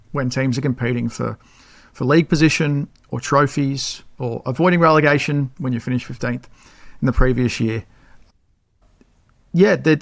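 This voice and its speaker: A man's voice: medium pitch, a bit bright, no echo. A man co-hosting a football podcast, talking in a laid-back, matter-of-fact way. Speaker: podcast co-host. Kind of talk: casual football podcast chat.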